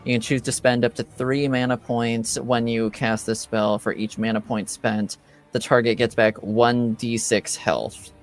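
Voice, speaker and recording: A man's voice: mid-range voice, fairly even intonation, a little slow, faint music behind. A man running a tabletop game as game master, laid-back, laughing often and swearing casually.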